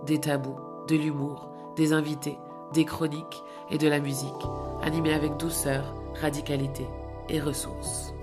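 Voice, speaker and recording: A woman hosting a community radio show, reading a scripted introduction in a measured, list-like way. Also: sits low, flat delivery. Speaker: radio host. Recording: music bed under the voice.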